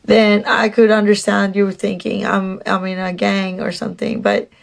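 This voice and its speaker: A woman with a Mexican Spanish accent, chatty and animated, telling a dating story to her phone camera with many restarts. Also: medium pitch, normal speed, creaky. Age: adult. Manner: slips into Spanish "dije". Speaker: dating vlogger.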